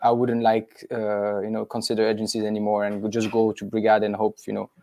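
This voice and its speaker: A man's voice: fairly low pitch, monotone, brisk, gravelly, mellow, slight background noise. A man from Switzerland, a business co-founder, sharing rambling anecdotes in a webinar discussion.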